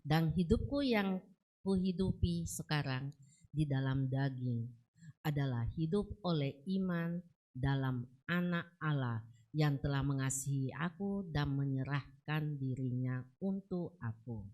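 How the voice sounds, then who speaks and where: A woman reading a Bible verse aloud slowly and carefully. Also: low voice, a little mellow. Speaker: scripture reader.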